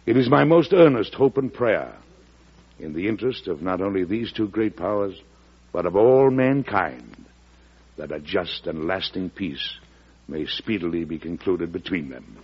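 Shrewd, commanding statesman, jovial and oratorical, gravelly, on a muffled old radio recording. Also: sits low, animated, measured pace, heavily acted. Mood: solemn. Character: hearty, shrewd American president, middle-aged statesman. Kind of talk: old-time radio drama acting.

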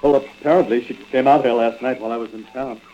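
An actor playing an evasive, guilty husband in a vintage radio thriller, halting and nervous in his delivery. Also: mid-range voice, steady tone, dark tone, lightly acted. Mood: grave, soothing. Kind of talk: 1940s-50s radio drama acting. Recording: dull, noisy vintage radio recording.

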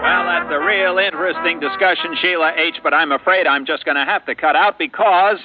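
An actor playing a genial, avuncular disc jockey of a teen show in an old radio drama, with folksy patter. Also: male voice, fairly high pitch, fairly quick, loud music behind the voice, close to an everyday voice. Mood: showman patter. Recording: tinny band-limited old radio, loud noise.

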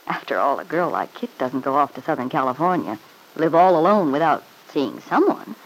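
An actress playing a sly, spiteful middle-aged mother-in-law in an old radio serial, insinuating and quick to justify herself. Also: low-pitched, sing-song, full character voice. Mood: insinuating, confiding. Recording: noisy old radio broadcast recording.

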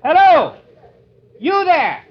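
An actor playing a timid, earnest young colonial lover and former soldier in an old radio drama, hesitant and dramatic. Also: male voice, extremely high pitch, very animated, extremely slow, no room sound, full character voice. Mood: calling out. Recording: dull lo-fi old radio, crowd-like noise.

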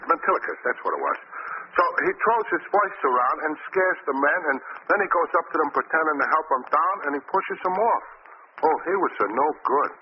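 Slangy, simple New cabbie. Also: male voice, on the high side. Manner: slangy, fumbling, apologetic. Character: simple, eager, good-hearted male cab driver. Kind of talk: 1940s radio acting. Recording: muffled, noisy old radio recording.

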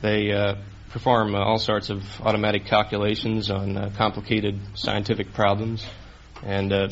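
A man's voice: lowish voice, monotone, moderate pace. A man answering interview questions as a contestant, hesitant and matter-of-fact. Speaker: quiz contestant, computer designer. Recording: dull old radio broadcast, faint noise.

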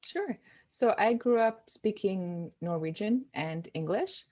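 A woman, an education director, answering interview questions in a relaxed storytelling way, with fillers and restarts. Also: mid-range voice, slow. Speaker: Montessori education director, guest.

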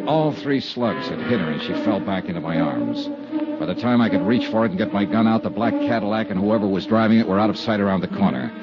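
A man's voice: fairly low pitch, fast, natural voice. An American actor playing a tough insurance investigator who narrates his own case in a 1950s radio detective drama. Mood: terse, measured narration. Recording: muffled old radio broadcast, music behind.

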